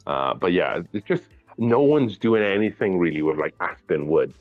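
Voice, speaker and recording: A man's voice: sits low, normal speed, no room sound, phone-quality sound, soft background music. A Welsh man in his thirties with a Welsh accent, chatting casually about whiskey, a bit mumbly. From Wales. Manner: relaxed, chatty, slightly mumbly. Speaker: guest whiskey taster. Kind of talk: casual chat.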